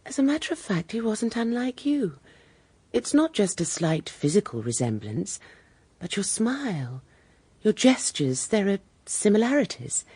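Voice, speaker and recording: A woman playing a poised, candid British widow in a radio drama, genteel and measured, with a dark husky voice. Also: medium pitch, sing-song, no echo. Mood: candid, weary. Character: poised, candid upper-class widow, coolly charming.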